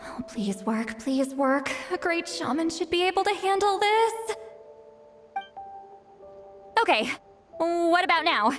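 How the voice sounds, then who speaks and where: A woman voicing a centuries-old shaman in a video game, halting and awkward, aloof but secretly lonely. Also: extremely high pitch, expressive, soft background music, exaggerated voice. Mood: flustered. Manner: halting, flustered hesitations turning wistful.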